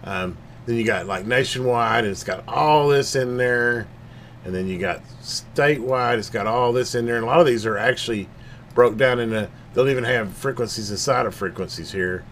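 A man's voice: mid-range voice, normal speed, a bit bright, a little hiss or hum. A man giving a casual, unscripted product demonstration in a rambling, folksy way, thinking aloud as he goes. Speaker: scanner hobbyist giving a product overview.

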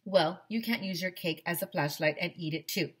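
A woman's voice: fairly low pitch, normal speed, breathy edge. A woman voicing an earnest, practical man from a school storybook in a matter-of-fact way.

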